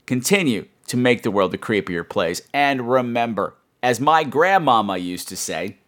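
A man's voice: medium pitch, lively intonation, moderate pace, fairly bright tone. A man hosting a horror podcast, chatting to his listeners in a campy, playful, self-mocking and emphatic way. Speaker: podcast host.

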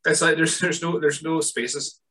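A man's voice: on the high side, fairly even intonation, moderate pace, pushed and bright, husky, rough recording. A man from northeast Scotland hosting a podcast, chatting casually, laughing often and restarting his sentences. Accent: Scottish, northeast. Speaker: podcast host. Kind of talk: casual chat.

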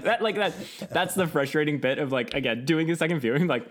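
A man's voice: on the high side, fast. A man co-hosting a casual film review podcast, recapping the plot with frequent laughter. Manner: laughs often, animated recapping. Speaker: podcast co-host.

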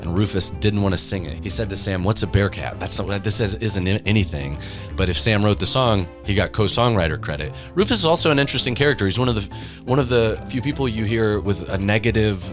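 A man's voice: on the low side, animated, fast talker. A man talking as a tour guide and music historian, telling stories conversationally in an interview.

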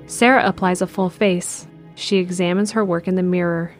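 A woman narrates an audio drama in a calm, measured voice that sits somewhat low. Her delivery is even and descriptive, with faint music underneath. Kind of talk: scripted narration.

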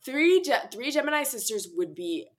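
A woman's voice: sits high, sing-song, normal speed. A young woman hosting a podcast interview, upbeat and chatty, laughing easily with her guest. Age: young adult. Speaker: podcast host. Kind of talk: casual interview chat.

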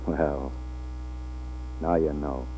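An actor playing a hard-drinking, flirtatious male film star in a 1940s radio drama, halting and repeating his words. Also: a bass voice, fairly even intonation, dark tone, heavily acted. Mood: tender, halting. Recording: old 1940s radio, tinny with hum.

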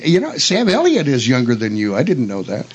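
A man's voice: mid-range voice, wildly swooping pitch, a little fast, breathy edge, dull audio. An older man hosting a radio show in a casual, chatty and folksy, easygoing way. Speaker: radio host. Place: radio studio.

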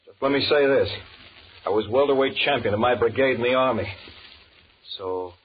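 Male actor as young bruiser brother, medium-pitched, firm and threatening, old-time radio drama, phone-like narrow sound. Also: moderate pace, close to an everyday voice. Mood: quietly menacing. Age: young adult. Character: tough young ex-army boxer, menacing protective brother. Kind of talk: 1940s radio drama acting. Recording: tinny, noisy 1940s radio recording.

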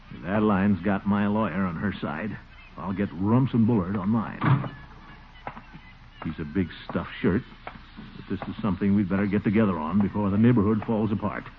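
An actor playing a pompous, fussy middle-aged busybody with a blustering manner, in 1940s radio comedy style. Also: male voice, low voice, close to an everyday voice. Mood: scheming. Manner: blustering, indignant, prone to nervous chuckles. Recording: tinny, noisy 1940s radio recording.

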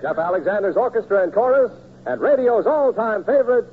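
Animated old-time radio announcer, man. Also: sits high, brisk, narrow, tinny audio, noisy background. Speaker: announcer and narrator. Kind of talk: 1940s radio announcing, scripted narration. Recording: thin old radio broadcast.

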